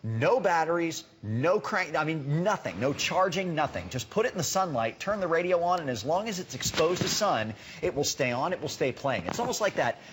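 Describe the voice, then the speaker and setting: A man's voice: a little high, sing-song, normal speed, hardly any pauses, noisy background. A man giving a live home shopping demonstration as a product expert, explaining conversationally and emphatically with repeated words for stress.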